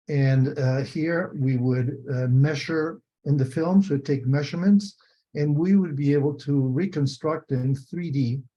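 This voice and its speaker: Dull online-call audio, older man, Spanish accent, slightly high voice, methodical academic lecturing, rephrases key points. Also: mellow. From Spain. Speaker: retired biomechanics professor, lecturer.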